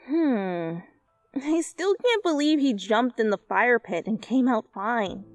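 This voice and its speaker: Woman playing cheeky, higher-pitched teasing girlfriend. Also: takes it slowly, dry recording, lo-fi sound, soft background music. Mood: amused disbelief. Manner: teasing, animated. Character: playful, teasing young girlfriend, cheeky and affectionate.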